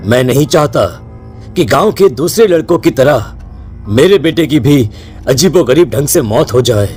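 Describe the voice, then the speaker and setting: Man playing a middle-aged village dad, grave n protective, nervy, mid pitch, bit of music behind. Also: animated, normal speed, darkish tone, lightly acted. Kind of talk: Hindi cartoon voice acting.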